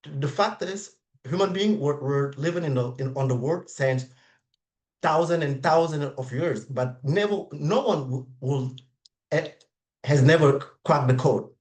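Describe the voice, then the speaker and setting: This is a man with a French accent, his voice sitting a little higher than most men's. He speaks English as a second language, hesitant and full of restarts. He is heard over a video call. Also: a little mellow. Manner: halting, many restarts and repeated words. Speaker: webinar participant. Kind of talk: reflective spoken comment.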